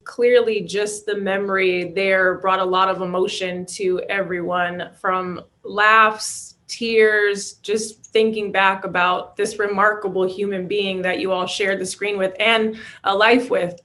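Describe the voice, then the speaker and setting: A woman's voice: medium pitch, little pitch movement, measured pace, hardly any pauses, a bit bright. A woman moderating a reunion question-and-answer session, addressing the panel. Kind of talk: hosting a reunion Q&A.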